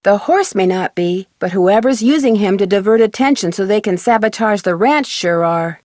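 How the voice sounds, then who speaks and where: An actress playing a polite, persistent young amateur detective in a video game, speaking earnestly and precisely. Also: female voice, medium pitch, animated, normal speed, a little mellow, no room sound, clean studio sound, lightly acted. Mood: earnest, insistent. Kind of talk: video game character dialogue.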